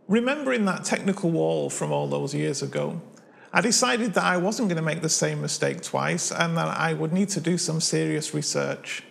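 A man's voice: on the high side, vocal fry. A man in his forties from Manchester with a Mancunian accent, chatting to camera about his piano hobby as host of his channel, recorded on a lapel mic in a living room. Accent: Mancunian English. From Manchester, England. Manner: chatty, self-deprecating, friendly storytelling. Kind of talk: casual talk to camera.

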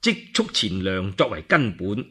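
A man voicing a respectful, deliberate adviser counselling his lord in a Cantonese radio story. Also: lowish voice, very animated, pushed and bright, husky, voice pushed down, full character voice. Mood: grave, measured advice.

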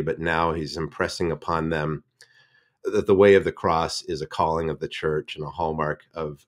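A middle-aged man with a very low voice talks through an idea slowly and reflectively. He speaks in long, winding sentences, as a professor does in casual discussion. The sound is a video call from his home office. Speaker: seminary professor.

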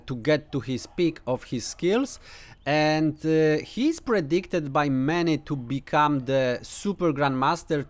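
A man in his thirties with a Polish accent, a native Polish speaker, casually commentating a chess game to camera from a room at home. He talks unhurriedly with lots of "uh" fillers and playful asides. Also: medium pitch. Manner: unhurried, thinking aloud with many uh fillers, playful teasing asides. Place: home room with bookshelves, indoors.

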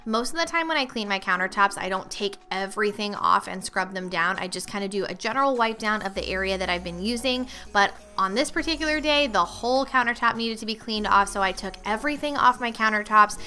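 A woman's voice: medium pitch, brisk, hardly any pauses, bright, forceful tone. A young woman narrating a cleaning vlog in a chatty, upbeat voice-over, recommending products. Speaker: cleaning vlogger.

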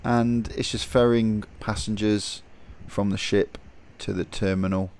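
A man narrating a casual travel vlog, chatty and relaxed, thinking aloud as he films outdoors by a harbour. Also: fairly low pitch, measured pace. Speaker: vlogger.

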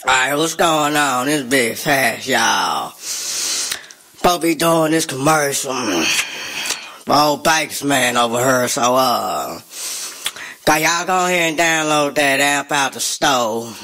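A man co-hosting a radio show talks through a casual promo spot. His delivery is unhurried and drawling, with a bright, forward edge to his voice. He keeps it loose and joking rather than polished. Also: mid-range voice. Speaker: radio co-host.

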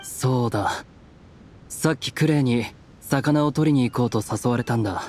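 A man's voice: mid-range voice, moderate pace, a little mellow, natural voice. An actor playing a stoic, guarded young nobleman in a video game, serious and restrained. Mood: calm, protective. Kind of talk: Japanese video game voice acting. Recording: studio voice over game music.

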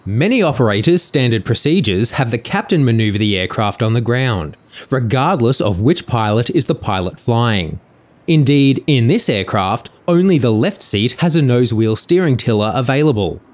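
A man's voice: mid-range voice, expressive. Sober documentary narrator, man. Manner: measured, sober.

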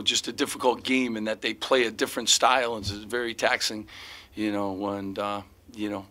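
A middle-aged man fields questions at a postgame press conference, his voice bright and forward. He talks into a table mic, circling his points with frequent fillers and false starts. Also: fairly low pitch. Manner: rambling, frequent "you know" fillers and restarts. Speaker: head basketball coach. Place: press room in front of sponsor backdrop. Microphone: table mic in front of him.

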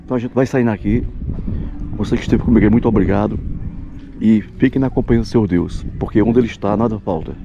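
Guy vlogging out on a village street, low gravelly voice, exclaims a lot. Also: fast, muffled recording. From Pernambuco, Brazil. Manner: exclaiming, talks to viewers. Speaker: travel vlogger.

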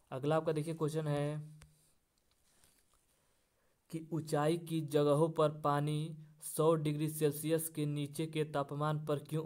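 A man with a fairly high voice works through an exam lesson. His intonation stays flat as he reads each question and follows it with the answer in the same repeated pattern. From India. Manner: formulaic, repetitive question-then-answer delivery. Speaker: exam-prep teacher.

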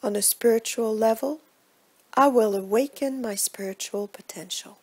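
A woman guiding a relaxation meditation in a soft, breathy voice, with measured, hushed delivery and gentle phrase endings. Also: fairly high pitch. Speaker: yoga nidra meditation guide. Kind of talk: guided relaxation meditation, scripted instruction.

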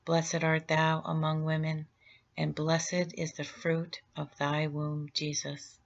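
A woman leading a rosary, reciting prayers in a slow, steady and reverent way. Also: deep voice, creaky, lo-fi sound. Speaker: prayer leader.

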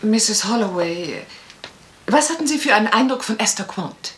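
Woman acting a forthright, expressive lady sleuth. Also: mid-range voice, normal speed, lightly acted. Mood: coaxing. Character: forthright, gently challenging detective's wife and partner. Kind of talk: German radio crime drama acting.

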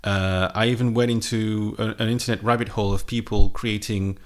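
A man's voice: lowish voice, steady tone, a little fast. A man in his thirties chatting casually and unscripted, like a music vlogger talking to camera from his home studio. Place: small home studio room indoors. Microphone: microphone on a boom arm, close.

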